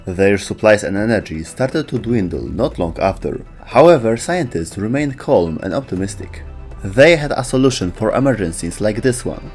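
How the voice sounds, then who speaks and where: Low-pitched man narrating over music. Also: a little mellow. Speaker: narrator of a game lore video. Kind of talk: scripted narration.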